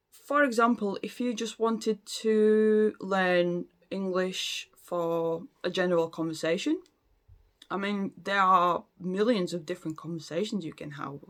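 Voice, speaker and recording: Late thirties, casual talk to camera, hesitant, frequent fillers, restarts sentences. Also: medium pitch. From Latvia. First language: Latvian. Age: late 30s. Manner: hesitant, many ums and restarts. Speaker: language learning vlogger.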